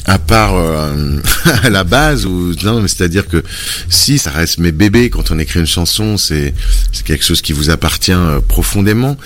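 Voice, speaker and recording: A man's voice: low voice, lively intonation, normal speed, fairly bright tone. A man being interviewed about his music, speaking hesitantly with many fillers and restarts. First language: French. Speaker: musician guest. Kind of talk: relaxed conversational interview.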